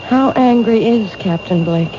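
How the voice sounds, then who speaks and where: A woman's voice: fairly high pitch, measured pace, warm tone, natural voice. An actress playing a frightened woman stalked by a killer in a 1970s radio drama, speaking hesitantly and doubtfully. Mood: anxious. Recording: muffled old radio broadcast with hiss.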